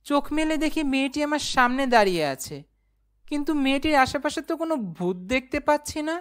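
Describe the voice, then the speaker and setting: A woman's voice: high-pitched, lively intonation, fast. A woman voicing a timid, naive, polite young man who tells his own cartoon story in a meek, earnest way. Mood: wondering. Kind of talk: first-person cartoon storytelling and dialogue.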